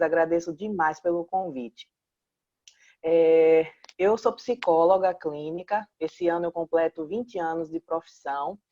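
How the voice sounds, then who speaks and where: A woman in her forties with a Pernambuco accent, a clinical psychologist answering interview questions in a measured, reflective way. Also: lowish voice, a little mellow, highs cut off. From Pernambuco, Brazil.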